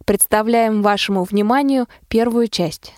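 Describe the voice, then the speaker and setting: A woman's voice: a little high, unhurried, darkish tone, no echo. A woman reading a scripted radio programme announcement.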